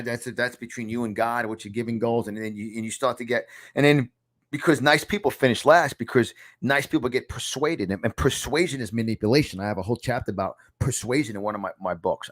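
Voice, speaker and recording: A man in his fifties with a New York accent, giving a motivational success lesson to camera from a small home studio, emphatic and repeating phrases for effect. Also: mid-range voice. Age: 50s. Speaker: success coach.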